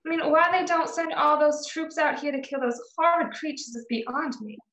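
A prim and callous Old West townswoman, acted by a woman in a radio drama. She has a high, complaining voice, heard in an echoey room over a video call. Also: moderate pace, fairly bright tone. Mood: disdainful. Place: indoors at home, video call.

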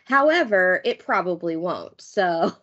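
A woman's voice: on the low side, lively intonation, a little slow, a bit bright. A middle-aged woman giving candid, informal advice as a panelist on a video call. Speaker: expert panelist. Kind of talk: casual chat, informal advice. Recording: slightly dull video call audio.

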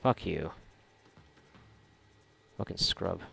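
A man giving casual, reactive commentary while gaming, sarcastic and foul-mouthed, with a gravelly voice. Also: sits low, lively intonation, drawn-out words, sharp tone. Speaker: gamer recording a playthrough. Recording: noisy, game gunfire behind voice. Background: video game gunfire and ambience.

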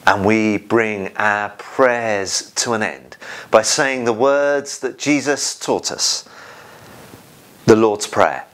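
A man's voice: fairly low pitch, slow pace, fairly bright tone, clean tone. A middle-aged man leading an online church service as minister, giving prayers, notices and a blessing. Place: small home conservatory, indoors.

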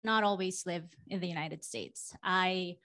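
Filipino-born woman, 40s, conference panel guest, thoughtful and halting. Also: on the low side. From Philippines. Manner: warm, reflective, many ums. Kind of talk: fireside chat on stage. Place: conference stage before a live audience. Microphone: handheld mic.

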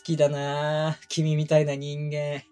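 A man playing a teasing, sadistic young twin interrogator in an ASMR roleplay, breathy and whispering close to a binaural mic, coaxing and taunting. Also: a little high, monotone, slow pace, warm tone, faint music behind, voice pushed up. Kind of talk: ASMR roleplay audio drama. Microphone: close binaural microphone.

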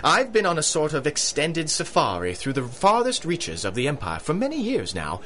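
An actor playing a pompous, jovial British colonel and big-game hunter in a radio drama, with hearty, old-fashioned upper-class talk. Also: male voice, on the high side, huge pitch swings, moderate pace, bright, forceful tone, slight background noise, heavily acted.

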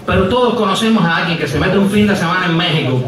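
A man's voice: high-pitched, fast, a crowd in the background. A middle-aged Cuban man doing a wry, digressive stand-up monologue, full of hesitations, on an outdoor stage with a stand mic. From Cuba. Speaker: comedian and writer. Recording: echoey live outdoor sound from far off. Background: audience laughing.

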